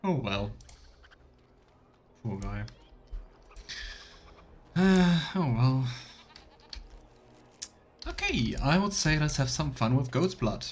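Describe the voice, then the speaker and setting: A man's voice: mid-range voice, big pitch swings, slow, long pauses, a little hiss or hum. A man doing casual let's play commentary as a game streamer, chatting and reading dialogue choices aloud.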